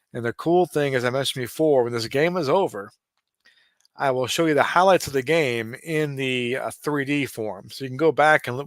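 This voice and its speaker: A man in his late forties doing casual play-by-play of a simulated baseball game, relaxed and chatty with frequent asides. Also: mid-range voice, dry recording, clean studio sound. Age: 40s. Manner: relaxed, chatty, given to asides and small restarts.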